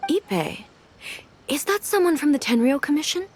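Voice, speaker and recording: A woman voicing a composed, regal deity ruler in a video game, speaking in a measured, reflective way. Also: sits high, big pitch swings, heavy background noise. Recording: game dialogue over music.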